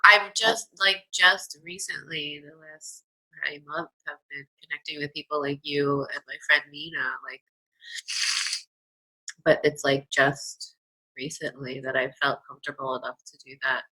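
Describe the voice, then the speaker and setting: A woman's voice: deep voice, fairly even intonation, normal speed, pushed and bright, breathy edge, rough recording. A woman guest in a casual chat, tearful and speaking hesitantly with many fillers.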